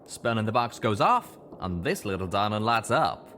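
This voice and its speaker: A man's voice: lowish voice, sing-song, moderate pace, sharp tone, slight background noise. A man voicing a casual, plain-spoken male pony companion in an audiobook, blunt and folksy in manner. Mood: eager explaining. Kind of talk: acted audiobook dialogue.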